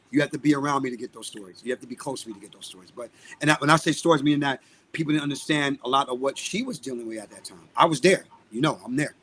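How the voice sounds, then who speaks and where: A man's voice: mid-range voice, fairly bright tone, slightly rough, low-quality recording. A man with a Brooklyn accent speaking as a guest in a podcast interview, outspoken and emphatic, repeating phrases for stress. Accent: Brooklyn, New York. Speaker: guest, singer and music producer. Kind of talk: live podcast interview talk.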